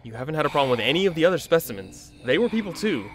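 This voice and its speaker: A driven bossy scientist doc in an audio drama, sharp clinical voice, bit of noise behind. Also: male voice, fairly high pitch, sing-song, rapid-fire. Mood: firm, persuasive. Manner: clinical, commanding. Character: driven, authoritative male research doctor in zombie drama.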